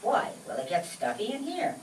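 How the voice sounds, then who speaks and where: A woman's voice: medium pitch, huge pitch swings, normal speed, crowd noise. A woman playing a gullible, exasperated male stooge in a vaudeville-style radio comedy routine. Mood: puzzled. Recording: echoey distant mic, noisy live stage.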